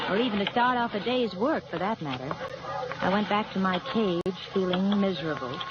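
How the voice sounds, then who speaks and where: An actress playing a plucky young bank teller and wry narrator in an old-time radio drama. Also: female voice, mid-range voice, background noise, close to an everyday voice. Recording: muffled old radio recording with loud music.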